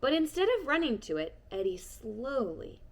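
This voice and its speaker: A woman reading a children's storybook aloud, expressive and shifting between hushed and bright tones. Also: on the high side, moderate pace. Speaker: storybook reader. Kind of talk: children's book read-aloud.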